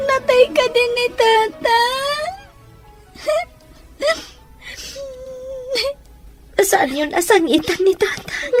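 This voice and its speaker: A woman's voice: very high-pitched, exaggerated voice. An actress playing a sorrowful young woman with a Filipino accent, plaintive and emotional, in a melodramatic audio drama. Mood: grieving, tearful. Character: sorrowful young woman burdened with caring for her siblings. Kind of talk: melodramatic Filipino radio-style drama acting.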